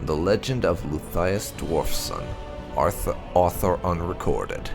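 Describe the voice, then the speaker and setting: A man with a gravelly voice reading fantasy game lore aloud in a measured, solemn storytelling manner. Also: low-pitched, big pitch swings, over music. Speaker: gaming channel host.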